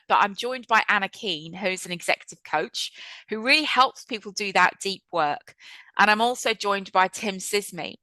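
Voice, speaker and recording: A woman in her fifties with a bright, clear voice, heard dry and close. She hosts a webinar over a video call in a chatty, reflective way. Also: medium pitch, no room sound, poor audio. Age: 50s. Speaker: webinar host and trainer. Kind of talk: presenting a webinar.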